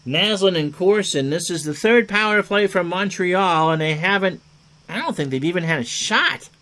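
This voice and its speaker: Guy doing hockey play by play, voice a bit on the high side, chatty, short clipped calls and jokey asides. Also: animated, normal speed, pushed and bright. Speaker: play-by-play sports commentator. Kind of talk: hockey game commentary.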